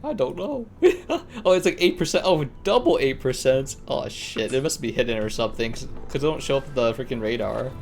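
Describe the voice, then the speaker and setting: A man's voice: medium pitch, lively intonation, a little fast, vocal fry, hardly any pauses, a little mellow, soft background music. A man giving casual, slangy gameplay commentary on a live stream, thinking aloud as he plays. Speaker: live streamer playing a video game.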